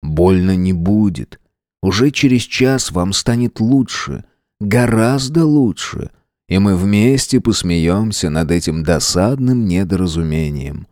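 A man reading aloud, voicing a calm, soothing male captor who speaks slowly and evenly. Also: deep voice, no room sound, clean studio sound.

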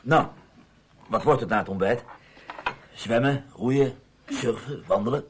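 A man's voice: mid-range voice, measured pace, slight background noise, close to an everyday voice. A man acting a sardonic, bickering husband in a Dutch radio play, sarcastic and exasperated.

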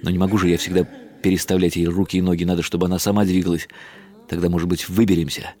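Man, literary narration, first person, measured, wry, very low pitch. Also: level tone, warm tone.

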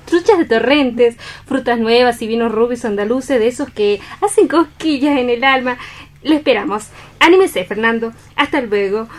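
A woman's voice: fairly high pitch, moderate pace, natural voice. An actress with an accent from Spain playing a coquettish, cheerful, gracious lady in a radio play reading, effusive and genteel. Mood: cheerful, blithe.

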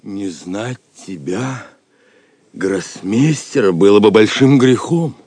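A man's voice: fairly low pitch, expressive, slow, darkish tone, husky, no room sound, exaggerated voice. An actor playing a desperate old father in a Russian audio drama, emotional and plaintive. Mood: humble, wary. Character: Isaac of York, desperate pleading old father.

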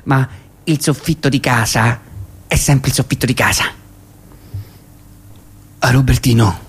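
A man's voice: on the high side, vocal fry. A man performing a nostalgic, grumbling old grandfather in a comic monologue, with a Roman accent and a stammering, repetitive, sweary way of talking. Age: older. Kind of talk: comic monologue at a live reading.

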